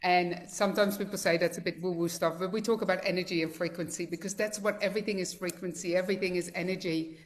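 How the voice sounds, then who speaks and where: A woman's voice: on the low side, fairly even intonation, fast talker, hardly any pauses. Dutch-accented woman in her fifties. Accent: mixed Dutch. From the Netherlands. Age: 50s. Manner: chatty and heartfelt, with fillers like "um" and "like". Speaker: guest speaker. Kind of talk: personal story talk on a group call. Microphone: video call.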